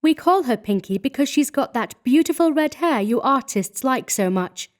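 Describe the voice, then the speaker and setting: A man's voice: very high-pitched, animated, moderate pace, pitched above the performer's own voice. A man voicing a chatty, bubbly young woman who works as an artist's model. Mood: gushing. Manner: chattery, breathless gushing.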